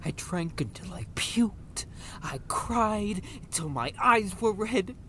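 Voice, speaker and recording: A man's voice: sits high, lively intonation, takes it slowly, fairly bright tone, slight background noise, exaggerated voice. An actor in an audio drama playing a grieving, menacing gangster father bent on revenge, emotional and prone to swearing. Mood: bitter grief. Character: grieving, vengeful gangster father with armed men.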